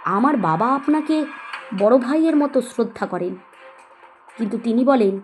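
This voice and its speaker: A woman's voice: a little high, natural voice. A woman acting a resolute, principled young woman in a Bengali audio story, speaking firmly and earnestly. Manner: firm, earnest, measured.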